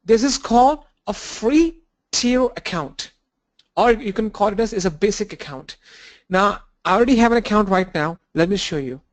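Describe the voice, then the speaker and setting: A man with a cold and a blocked nose, teaching an online training lesson with halting fillers and restarts. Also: high-pitched, animated. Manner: halting, restarts and fillers, walks through screens. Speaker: instructor.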